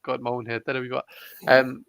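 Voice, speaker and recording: A man's voice: medium pitch, completely flat delivery, brisk, creaky, a bit bright, clean tone, dry recording. A man hosting a casual livestream, chatting informally and a little hesitantly. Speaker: host of a live tarot reading show. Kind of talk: casual livestream chat, reading out comments.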